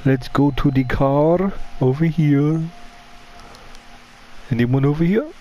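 A man's voice: a little high, takes it slowly, mellow, dull audio. A man voicing a bumbling, foul-mouthed killer devoted to his mother, talking in stilted broken English while commenting on his gameplay. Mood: grumbling, narrating. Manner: broken English, dropped articles, stilted phrasing. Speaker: gamer playing a horror game in character.

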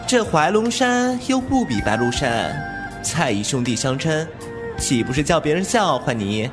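Male actor as cheeky, loyal young wuxia beggar, lively intonation over music, teasing and defiant. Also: a little high, a little slow. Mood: sarcastic. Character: young male beggar-clan heir, wilful, flippant, loyal. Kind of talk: Chinese wuxia audio drama acting.

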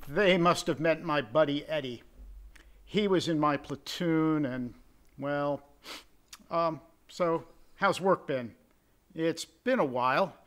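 An earnest soldier home from the war speaks in a 1940s radio drama. He takes his time, in a somewhat high male voice, affectionate yet exasperated with his brother. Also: slow, a bit bright. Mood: warm. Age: middle-aged.

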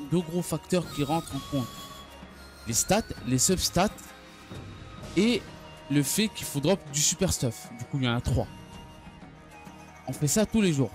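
A man giving an unscripted gaming news recap, speaking haltingly and often stumbling or repeating his phrases. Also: fairly high pitch, a little mellow. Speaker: gaming video creator. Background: background music.

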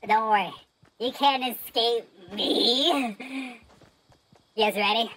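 A man voicing a childish, excitable, melodramatic gamer through a high-pitched voice changer, whining and gloating theatrically in trolling banter. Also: slow pace. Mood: playful, whiny. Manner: theatrical, whiny, gleeful. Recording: noisy gaming voice-changer audio with clicks.

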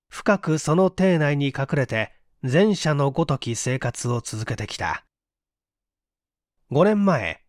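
A man narrating a mystery story as an audiobook, in a measured, literary and dramatic storytelling manner. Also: fairly high pitch, a little mellow, dry recording, clean studio sound. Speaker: audiobook reader, stage actor.

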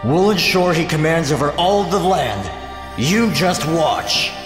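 A cocky, loyal warrior archer in a game cutscene. His voice sits a little high and his delivery is emphatic. It rings with echo, over prominent music. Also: lively intonation, slow. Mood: proud confidence. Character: brash, devoted male archer warrior, younger brother-in-arms. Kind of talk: dramatic video game cutscene acting. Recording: echoey hall reverb, music behind.

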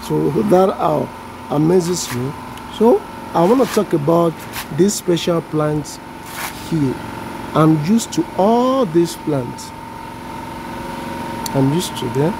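A man with a Nigerian accent, a native Yoruba speaker, casually showing backyard weeds outdoors, unhurried and sounding out plant names with a self-deprecating tone. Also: fairly high pitch, animated, creaky. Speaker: vlogger. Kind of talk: casual walk-through narration. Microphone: handheld phone camera, off-screen. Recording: generator noise behind phone audio. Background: generator running.

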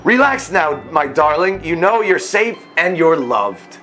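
Man reading as gentle, soothing monster mother. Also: fairly high pitch, very animated, measured pace, faint music behind, exaggerated voice. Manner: gentle yet firm, soothing. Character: gentle, reassuring monster mother soothing her frightened child.

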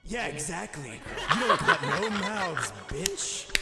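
A man plays a dark, teasing hedgehog antihero in a comedic game fan dub. The voice is fairly high and mocking, with echo and loud music around it. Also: big pitch swings, takes it slowly, exaggerated voice. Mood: taunting. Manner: mocking, flirtatious. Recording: echoey, under loud music.

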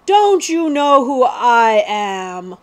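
A woman's voice: fairly high pitch, lively intonation, measured pace, a little mellow. A woman voicing a haughty, bratty young prince in a storybook, snapping out imperious orders. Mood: indignant.

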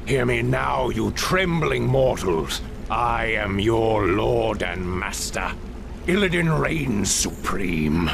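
A man's voice: fairly low pitch, animated, takes it slowly, vocal fry, noisy background, heavily acted. A man voicing a brooding, imperious half-demon warlord in a game cutscene, grandiose and commanding. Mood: triumphant, menacing. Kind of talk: dramatic video game cutscene acting.

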